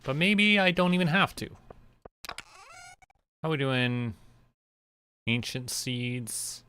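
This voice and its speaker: A man giving casual gameplay commentary, rambling and relaxed as he talks to himself. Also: mid-range voice, takes it slowly, clear, resonant tone, dry recording. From Canada. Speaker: streamer.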